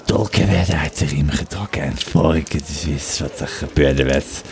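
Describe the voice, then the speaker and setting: A man playing an old Roman legionary veteran in an audio play, telling a grim memory in a halting, dramatic way. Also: extremely low pitch, creaky, a little hiss or hum. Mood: grim, haunted. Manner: slow, halting, dramatic storyteller. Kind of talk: in-character storytelling in an audio play.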